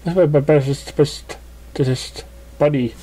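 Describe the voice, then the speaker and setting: A man voicing an excitable, bubbly female cartoon pony with a gushing manner. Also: on the high side, takes it slowly, breathy edge, pitched above the performer's own voice. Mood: friendly. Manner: exclamatory, gushing.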